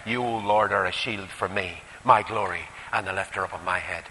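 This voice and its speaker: A man with a Northern Irish accent preaching a sermon, putting rhetorical questions and moving between emphatic rises and quieter asides. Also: sits low, normal speed, slight background noise. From Northern Ireland. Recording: echoey hall with long reverb.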